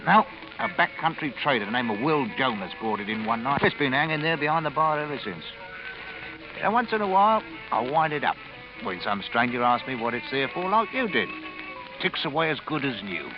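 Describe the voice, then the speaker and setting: Wry barroom storyteller played by a male actor, very lively inflection, chatty vintage radio drama over music, dull recording. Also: medium pitch, normal speed, natural voice. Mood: wry musing. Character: chatty, wry barkeeper spinning a tale to a stranger.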